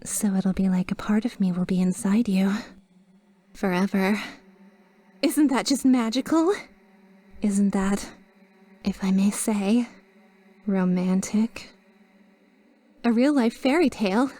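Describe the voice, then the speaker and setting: A woman playing a sweet, possessively devoted guardian angel in a roleplay ASMR monologue, with soft, breathy, often whispered speech and formal, flowery wording. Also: mid-range voice. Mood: tender, clingy. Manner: formal, flowery, slow and adoring.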